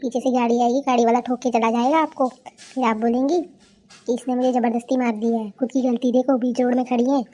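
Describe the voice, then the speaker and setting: A woman's voice: fairly high pitch, fairly even intonation, brisk, dark tone, natural voice. A woman playing a brash, lazy young city bride in a cartoon, scornful, bossy and full of complaints. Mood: scolding. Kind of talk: cartoon character dialogue.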